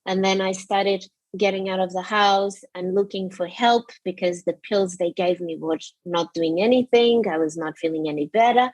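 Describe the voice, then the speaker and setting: A woman's voice: medium pitch, dry recording, rough recording. Portuguese woman on an interview, opens up, lots of restarts, dry close sound. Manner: open, emotional, frequent restarts and fillers. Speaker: guest. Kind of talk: candid interview storytelling.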